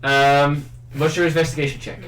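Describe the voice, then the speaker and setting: A man's voice: a little high, little pitch movement, moderate pace, fairly bright tone, soft background music. A man narrating a tabletop roleplaying game as game master, in an echoing room. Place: indoor room, group game livestream. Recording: roomy, echoing room sound.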